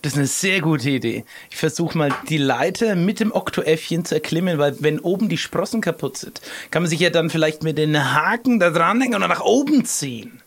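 Husky, creaky higher-pitched man role-playing. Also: animated, fairly quick, few pauses. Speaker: player acting a pirate in an improvised adventure. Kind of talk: casual improvised role-play.

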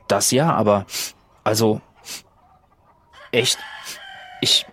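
A man's voice: fairly low pitch, drawn-out words, fairly bright tone. A man playing a tabletop roleplaying game, improvising conversationally in character.

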